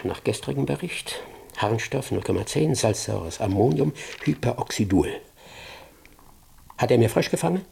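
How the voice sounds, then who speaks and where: An actor playing a pompous, fanatical doctor and scientist in a German radio drama, excitable and scolding, declaiming and repeating phrases emphatically. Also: male voice, mid-range voice, moderate pace, gravelly, a little hiss or hum. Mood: agitated, demanding.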